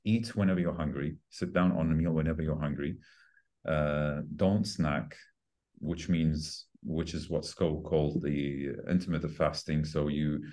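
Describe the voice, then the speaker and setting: A man in his forties with a notably deep voice and a dark, relaxed tone. He explains like a fitness coach being interviewed, conversationally and a little haltingly, searching for words and then repeating the important ones for emphasis. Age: 40s. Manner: emphatic repetition of key words. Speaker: fitness trainer and podcast guest.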